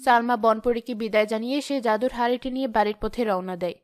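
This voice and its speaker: A woman's voice: fairly high pitch, quick pace, over music. A woman giving scripted narration of a fairy tale for a cartoon.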